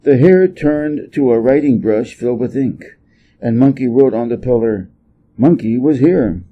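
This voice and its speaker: A man narrates a story from a script. His voice is middle in pitch and very mellow, with a husky grain. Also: normal speed, lo-fi sound. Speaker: story reader.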